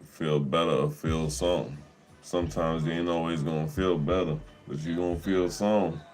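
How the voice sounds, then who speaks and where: A man with a deep voice, hosting a livestream in casual chat, laid-back and profane, laughing often. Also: steady tone, normal speed, a little mellow, soft background music. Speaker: livestream host.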